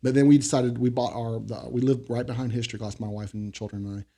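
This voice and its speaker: A man's voice: mid-range voice, flat delivery, quick pace, gravelly. A man in his forties from Florida, a local business owner talking candidly as a podcast guest. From Florida, USA. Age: 40s. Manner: candid, chatty, trails off quietly. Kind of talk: casual interview chat.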